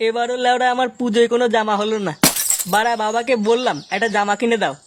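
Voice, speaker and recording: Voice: medium pitch, level tone, fast, noisy background, close to an everyday voice. A voice actor playing a brash, cursing young village lad in a comedy cartoon. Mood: grumbling. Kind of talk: comic cartoon dubbing.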